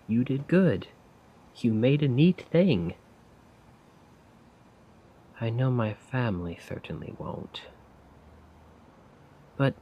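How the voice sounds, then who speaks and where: A man playing a weary, self-doubting failed poet in a soft-spoken, rambling ASMR roleplay monologue. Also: mid-range voice, breathy edge, highs cut off. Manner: slow, brooding, rambling. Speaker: roleplay audio performer.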